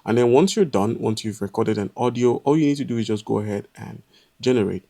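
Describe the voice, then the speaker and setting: A man giving a step-by-step software tutorial, explaining calmly with frequent "uh" pauses. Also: medium pitch, gravelly. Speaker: tutorial presenter. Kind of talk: screen-recorded software walkthrough.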